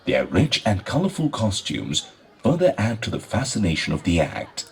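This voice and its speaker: A man's voice: fairly low pitch, wildly swooping pitch, normal speed. A man delivering scripted documentary-style narration.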